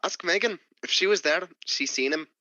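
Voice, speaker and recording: A man's voice: sits high, normal speed, bright, forceful tone, no echo. A man playing a plain-spoken, folksy hired farmhand in rustic dialect in a radio play. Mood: folksy, unhurried.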